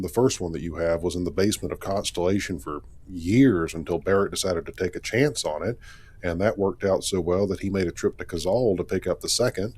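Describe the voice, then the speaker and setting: Man playing a deep-voiced, easygoing spaceship captain. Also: darkish tone. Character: easygoing male spaceship captain, curious and altruistic explorer. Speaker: actor voicing a game character in a fan story. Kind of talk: conversational character acting. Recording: clearly noisy background.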